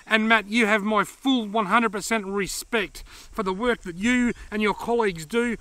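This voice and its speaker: An Australian man in his forties, chatting casually to camera outdoors as a vlog host, friendly and quick to laugh. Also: high voice, fairly even intonation, sharp tone, no echo. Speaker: fishing channel host. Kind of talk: casual vlog reading viewer mail. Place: outdoors in dry open woodland. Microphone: camera close up at arm's length.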